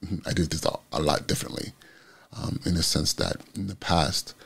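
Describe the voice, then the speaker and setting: A man in his forties, a school principal, with a raspy voice, talking through a reflective morning vlog full of ums. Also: sits low, steady tone, moderate pace, fairly bright tone. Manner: rambling, reflective, many ums.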